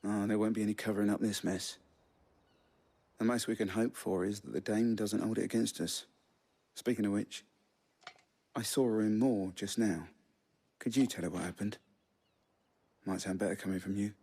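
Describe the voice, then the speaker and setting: An actor playing a weary, pragmatic soldier in a game cutscene, rough-voiced, plain-spoken and resigned. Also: male voice, fairly low pitch, steady tone, moderate pace, pauses often, warm tone. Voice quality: gravelly, rough. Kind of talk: dramatic video game cutscene acting.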